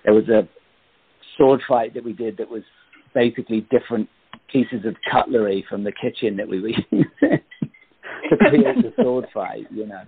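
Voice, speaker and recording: A man's voice: on the low side, sing-song, moderate pace, vocal fry, husky. A man who acts in radio plays, chatting casually as an interview guest over a remote connection. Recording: tinny phone-like connection.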